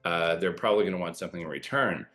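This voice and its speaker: A middle-aged man, a former pilot, explaining airport safety in a measured way with pauses and fillers. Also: low voice, fairly bright tone. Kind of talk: explanatory news interview commentary.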